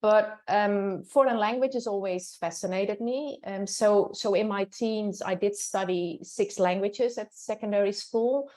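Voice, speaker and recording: A woman's voice: mid-range voice, measured pace, hardly any pauses, mellow. Dutch-accented older woman professor, reflective. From Netherlands. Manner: thoughtful, many fillers and restarts. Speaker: professor, interview guest. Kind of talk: reflective interview answer.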